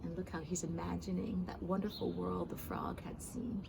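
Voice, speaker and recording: A woman reading a children's story aloud expressively, pausing for gentle asides to young listeners. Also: lowish voice, level tone, gravelly, background noise. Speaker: storybook reader.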